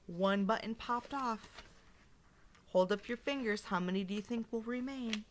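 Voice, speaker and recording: A woman's voice: on the high side, measured pace, slight background noise. A woman teacher doing an interactive read-aloud for young children, explaining simply, asking questions and reading expressively.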